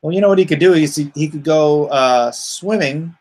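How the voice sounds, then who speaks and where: A man's voice: a little high, normal speed, darkish tone. A man chatting casually as a livestream host, rambling informally with joking asides. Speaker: comic artist hosting a crowdfunding livestream.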